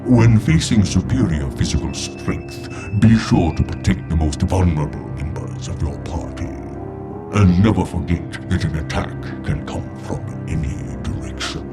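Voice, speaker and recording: A man's voice: deep voice, moderate pace, music in the background. A man playing a grave, instructive battle adviser in a fantasy game. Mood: solemn. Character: solemn male battle adviser.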